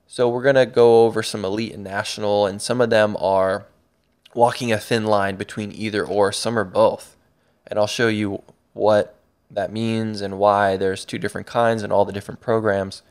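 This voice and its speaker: A man's voice: on the low side, level tone, normal speed, smooth. A man narrating an online course over slides, upbeat and a little rambling, with frequent restarts. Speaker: course instructor and website creator.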